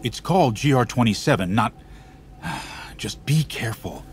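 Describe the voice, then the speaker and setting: An actor playing a weary, anxious scientist in a video game, dry and given to sighing. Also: male voice, mid-range voice, lively intonation, unhurried, natural voice. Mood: worried, sarcastic.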